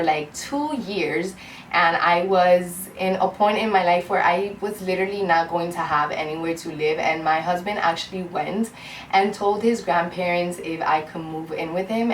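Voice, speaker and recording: A woman's voice: lowish voice, recorded from a distance. A woman in her twenties from Cuba, chatting candidly to her camera at home in a rambling, heartfelt way. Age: 20s. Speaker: beauty vlogger. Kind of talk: casual chat to camera. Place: room at home. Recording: roomy echo, off-mic, faint hiss.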